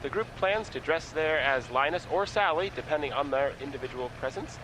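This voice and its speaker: Guy reading a fake news bit dead serious, kinda high voice, noisy recording. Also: expressive, brisk. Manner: dry mock-serious delivery. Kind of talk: deadpan comic news bit.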